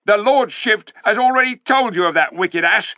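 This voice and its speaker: Man voicing a pompous thundering warlord, high and forceful, storybook villain. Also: expressive, normal speed, no echo, heavily acted. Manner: thundering, commanding. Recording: dull lo-fi recording.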